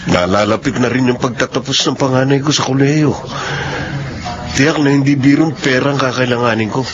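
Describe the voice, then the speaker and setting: A man's voice: medium pitch, brisk, natural voice. An actor playing a beleaguered middle-aged widowed father, earnest and emotive, in a radio drama. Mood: worried. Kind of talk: melodramatic radio drama acting. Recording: dull radio broadcast with faint noise.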